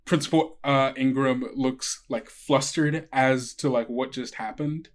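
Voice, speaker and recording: A man running a tabletop game as game master, narrating off the cuff in a halting, conversational way. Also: medium pitch, fairly even intonation.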